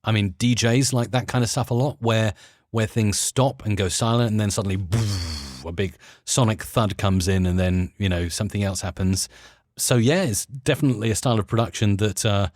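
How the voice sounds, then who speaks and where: A man with a fairly low voice talks as a guest in a relaxed interview. He explains things with enthusiasm, feeling his way through the wording. The recording is dry and close. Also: a little fast, dry recording. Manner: enthusiastic, hesitant while explaining. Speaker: interview guest, audio producer. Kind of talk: casual explanatory interview talk.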